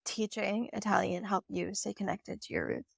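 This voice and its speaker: Gravelly-voiced woman hosting a podcast. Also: medium pitch, fairly even intonation, moderate pace, no echo, clean studio sound. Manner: friendly, asks questions, affirming. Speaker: podcast host, interviewer. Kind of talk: casual podcast conversation.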